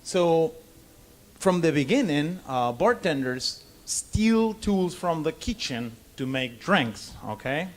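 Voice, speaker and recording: Higher-voiced man with Argentine Spanish accent. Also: very animated. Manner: halting non-native English, frequent "okay?" check-ins. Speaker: bartender giving a seminar. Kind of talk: informal lecture to a live audience.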